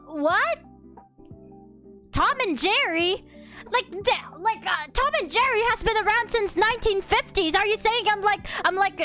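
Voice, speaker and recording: A young woman streaming as a VTuber, chatting casually while she draws and reacts to chat with animated, mock-outraged restarts. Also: high voice.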